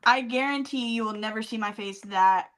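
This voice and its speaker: A woman with a fairly high voice chats casually as a podcast co-host. Her delivery is playful and emphatic, full of slang, like informal talk between friends. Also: moderate pace, a bit bright.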